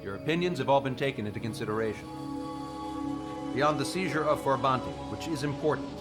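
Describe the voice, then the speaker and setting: Over loud music, a decisive male commander played by an actor runs a briefing in a war game. His voice is medium in pitch. He speaks briskly and with authority. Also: lightly acted. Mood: firm, impatient.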